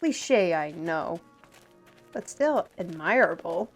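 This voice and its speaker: A woman's voice: lowish voice, animated, slow pace, exaggerated voice. A woman playing a talkative, worldly steward in a fantasy audio roleplay, with a wry, teasing and chatty manner. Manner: chatty, teasing, addresses listeners as lass and lad. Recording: music behind the voice. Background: music.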